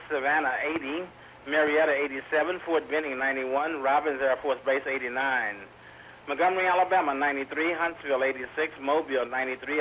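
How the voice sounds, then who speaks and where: A man's voice: a little high, bright, forceful tone, poor audio. A man reading scripted weather bulletins as a radio announcer, recorded from 1990s weather radio onto VHS. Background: faint radio noise.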